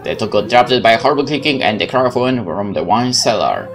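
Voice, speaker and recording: Very animated man. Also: medium pitch, quick pace. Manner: dramatic, expressive, often exclaiming. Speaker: gaming video host. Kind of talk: reading a horror visual novel aloud, with reactions. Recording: music behind the voice.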